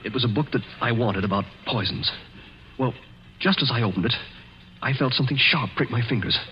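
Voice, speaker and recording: A man's voice: medium pitch, a little fast, close to an everyday voice. An actor playing a firm, guarded, desperate young man in a 1940s radio drama. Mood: urgent. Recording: dull old radio broadcast, faint hiss.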